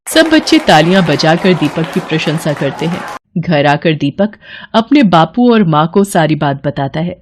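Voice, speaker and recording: A woman's voice: sits low, very animated, moderate pace, background noise. A woman storyteller reading scripted narration for a children's moral story.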